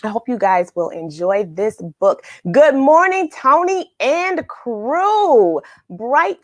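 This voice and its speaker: A woman with a fairly high voice hosts a children's read-along, speaking very slowly. She chats to her viewers in an upbeat, excited way, as if to families and kids. The sound is close and dry. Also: no echo. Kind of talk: live read-along chat, greeting viewers.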